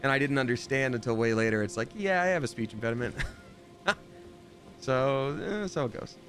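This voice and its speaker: A man's voice: mid-range voice, brisk. Male game streamer, mid-range voice, rambling live commentary with game music underneath. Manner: chatty and rambling, with sudden excited jumps.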